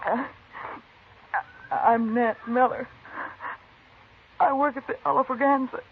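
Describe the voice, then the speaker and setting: A woman's voice: fairly high pitch, fairly even intonation, slow pace. An actress playing a shaken, evasive Old West saloon girl in a hesitant, stammering 1950s radio drama style. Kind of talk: 1950s radio western acting. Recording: muffled, noisy 1950s radio recording.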